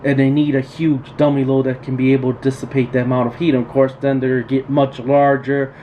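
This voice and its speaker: A man's voice: mid-range voice, a little fast, lo-fi sound. Plain-spoken man explaining gear, noisy background. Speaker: radio repair technician hosting a tool tutorial. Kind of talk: informal unscripted instructional talk. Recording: steady background noise, room ambience.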